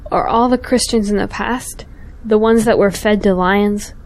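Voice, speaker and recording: A woman's voice: mid-range voice, steady tone, a little slow, lightly acted. Earnest young woman, devout, troubled conscience, insistent, audio drama dialogue, acted by a woman. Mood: dismayed, searching.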